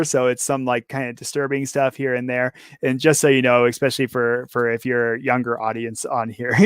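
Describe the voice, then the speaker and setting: Hoarse male podcast host, rambling casual talk, average pitch, dry close sound. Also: no room sound. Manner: rambling, many restarts and fillers. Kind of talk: casual interview chat and story reading.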